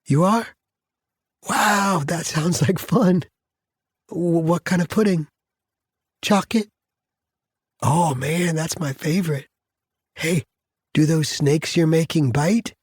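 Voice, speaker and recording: A man's voice: on the high side, quick pace, few pauses, husky, dry recording, studio quality, voice pushed up. A man playing a tender, anxious imprisoned father speaking to his little daughter in an audiobook. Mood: gentle delight.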